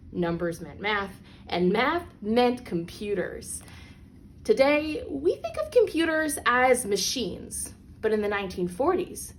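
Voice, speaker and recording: A woman reads a children's picture book aloud as a storyteller, with deliberate, emphatic phrasing for young listeners. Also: medium pitch. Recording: clearly noisy background.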